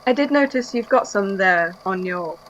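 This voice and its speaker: A woman's voice: medium pitch, animated, moderate pace, a bit bright, lo-fi sound, a little hiss or hum, natural voice. A woman playing a wary, politely reserved archivist in an audio drama, speaking tersely and hesitantly. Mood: guarded.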